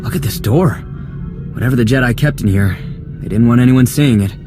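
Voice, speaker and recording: An actor playing an earnest, uneasy young companion in a video game, with a hesitant manner. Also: male voice, mid-range voice, brisk, natural voice. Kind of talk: scripted video game acting. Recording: music behind the voice.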